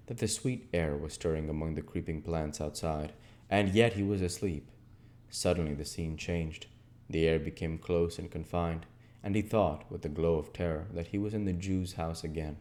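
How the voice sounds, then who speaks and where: A man reading aloud as host, in expressive literary narration with measured, dramatic phrasing. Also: sits low. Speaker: reader and host of an audiobook channel.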